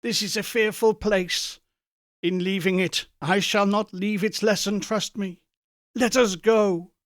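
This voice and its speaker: A man's voice: high-pitched, fairly even intonation, measured pace, no echo, clean studio sound, pitched above the performer's own voice. A man voicing a frightened, remorseful old miser who pleads in agony, in an audiobook. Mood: fearful, earnest. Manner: agonized, pleading, trembling earnestness. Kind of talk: audiobook character voice.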